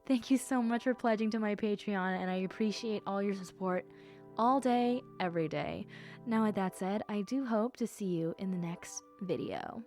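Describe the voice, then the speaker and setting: A woman's voice: medium pitch, normal speed, darkish tone, soft background music. A woman audio creator speaking directly to listeners in a friendly sign-off style, thanking supporters. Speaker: roleplay audio creator and voice actor.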